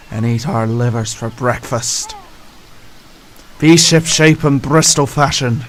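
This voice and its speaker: A man playing a gruff, bloodthirsty pirate captain with a stage pirate accent, barking orders in a radio play. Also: mid-range voice, a little hiss or hum, lightly acted. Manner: barking orders, archaic sailor talk. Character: gruff, commanding, bloodthirsty old-style pirate sea captain.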